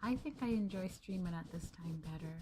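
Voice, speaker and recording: A young woman streamer chatting gently and sweetly with her viewers in a maid roleplay, calling them master. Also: on the low side, fairly quick, background noise.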